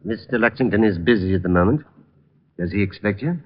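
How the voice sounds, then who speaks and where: An actor playing a formal, deferential butler with a courteous, measured manner in an old-time radio drama. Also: male voice, lowish voice, close to an everyday voice. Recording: dull vintage radio recording.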